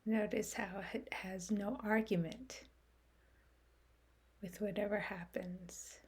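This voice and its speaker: A woman guides a meditation at a slow, unhurried pace. Her voice sits at an average pitch for a woman. She speaks softly and soothingly, setting out short measured phrases with long pauses between them. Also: fairly even intonation, breathy edge.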